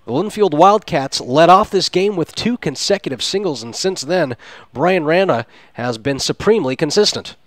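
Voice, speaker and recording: A man's voice: on the high side, animated, normal speed, no room sound. Male radio baseball announcer, close dry sound. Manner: descriptive, flowery turns of phrase. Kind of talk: live sports radio commentary.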